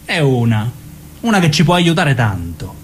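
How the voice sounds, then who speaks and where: Actor as cocky southern Italian gangster, animated. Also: male voice, mid-range voice, moderate pace, a little hiss or hum, close to an everyday voice. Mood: cocky, teasing. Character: cocky, crude young gangster, smitten and scheming. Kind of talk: naturalistic radio drama dialogue.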